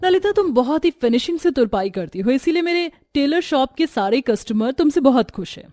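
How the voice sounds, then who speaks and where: Stingy shopkeeper woman for a moral tale, brisk businesslike manner, high voice, dry sound, played by a female narrator. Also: expressive, dark tone, no room sound. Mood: pleased. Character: businesslike, stingy woman tailor-shop owner.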